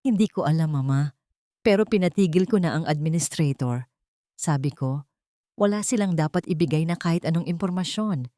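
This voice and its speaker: A woman's voice: low-pitched, normal speed, dark tone, dry recording, lightly acted. A guarded, uneasy heir as a woman narrator plays him. He explains things dismissively to his mother in a dry-sounding audio melodrama. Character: Risky, wealthy adult son, uneasy but dismissive.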